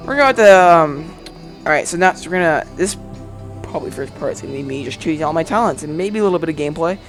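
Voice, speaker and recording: Excitable young gamer with high voice. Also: male voice, fast talker, over music. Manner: excitable, crude, joking. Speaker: gaming video host. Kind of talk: casual let's play commentary. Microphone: gaming headset.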